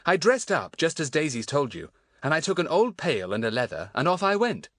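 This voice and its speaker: A man's voice: fairly high pitch, big pitch swings, a little fast, fairly bright tone, dry recording, clean studio sound, pitched above the performer's own voice. A man voicing a keen, good-natured schoolboy in a children's audiobook, earnest and friendly. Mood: eager recounting.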